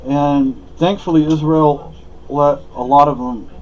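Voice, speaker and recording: A man's voice: on the high side, steady tone, a little slow, muffled recording, a little hiss or hum. A man from Spain, a native Spanish speaker, giving a rambling, opinionated monologue with many fillers and restarts. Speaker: vlogger.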